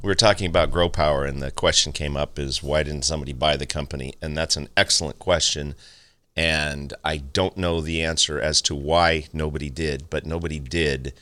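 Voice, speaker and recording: A man's voice: a bass voice, fairly even intonation, brisk, sharp tone, dry recording. A man hosting a garden talk radio show, chatting casually and bantering with his co-host. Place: radio studio.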